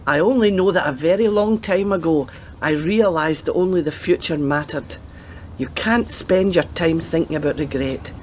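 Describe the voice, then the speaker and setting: A woman's voice: low-pitched, normal speed, darkish tone, slight background noise, close to an everyday voice. An actress playing a chatty, doting and cheeky old grandmother in a radio play, fussing and teasing as she talks. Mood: earnest, advising.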